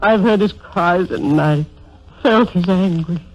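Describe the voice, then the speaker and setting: A woman's voice: lowish voice, takes it slowly. An actress playing a grieving, insistent soldier's mother in a 1930s radio drama. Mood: anguished, demanding. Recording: dull, lo-fi 1930s radio broadcast.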